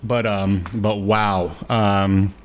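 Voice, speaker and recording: A man's voice: on the low side, little pitch movement, drawn-out words. A man co-hosting a podcast, chatting casually about media with wry, joking asides. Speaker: podcast co-host. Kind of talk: casual media review chat.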